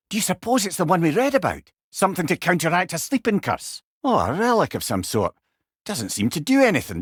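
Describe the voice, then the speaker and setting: An actor playing a wry, clever older male storyteller companion in a video game, with a Scottish accent and a droll, expressive manner. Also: high voice, breathy edge, no echo, studio quality, full character voice. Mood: droll, curious. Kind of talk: video game character dialogue.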